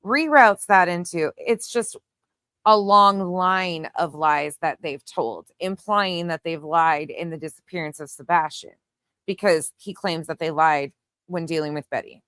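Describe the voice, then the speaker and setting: A woman's voice: lowish voice, measured pace, fairly bright tone, clean tone, dry recording, studio quality. A woman hosting an opinionated online case commentary, speaking in a pointed, emphatic, argumentative way. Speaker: commentary show host.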